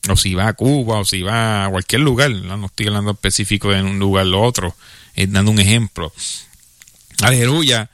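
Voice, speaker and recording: Forceful Puerto Rican preacher, hallelujahs throughout. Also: male voice, lowish voice, no echo, clean studio sound. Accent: Puerto Rican Spanish. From Puerto Rico. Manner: fills pauses with "hallelujah" and "glory to God", chuckling often; reads scripture aloud, then explains it. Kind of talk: sermon.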